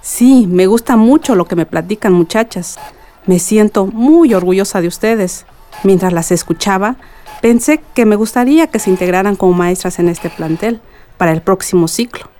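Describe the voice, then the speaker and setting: Actress as mellow, motherly Mexican woman. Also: mid-range voice, lively intonation, normal speed, close to an everyday voice. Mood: proud, encouraging. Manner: gentle, encouraging. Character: affectionate middle-aged mother and village school head. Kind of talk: radio soap opera dialogue.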